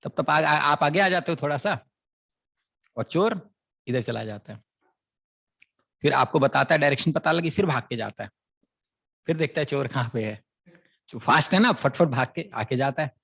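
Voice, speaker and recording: A man's voice: fairly high pitch, expressive, normal speed, gravelly, pauses often, a bit bright. A man teaching maths, explaining a word problem as a story and checking often that students follow, with a sore throat. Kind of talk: classroom lecture.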